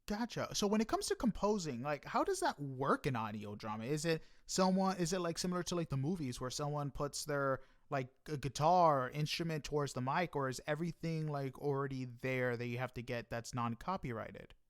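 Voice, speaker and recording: A man's voice: fairly high pitch, lively intonation, brisk, few pauses, no room sound, studio quality. Chatty American man hosting an interview. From United States. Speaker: podcast interviewer. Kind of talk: casual interview chat.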